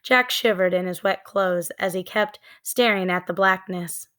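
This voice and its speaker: A woman narrating a children's book in a deliberate, expressive storytelling manner. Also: fairly low pitch. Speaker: read-aloud storyteller.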